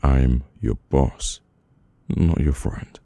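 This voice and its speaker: A man with a British accent playing a brooding, domineering, burdened mafia boss in an intimate, halting ASMR audio roleplay. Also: mellow, no room sound, full character voice. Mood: cold, dismissive. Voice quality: very deep, gravelly. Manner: slow, halting, weary menace.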